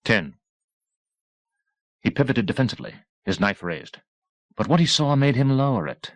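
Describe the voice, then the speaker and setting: A man reading a novel as a scripted, dramatic audiobook narration, with measured and deliberate phrasing. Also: medium pitch, sing-song, no room sound.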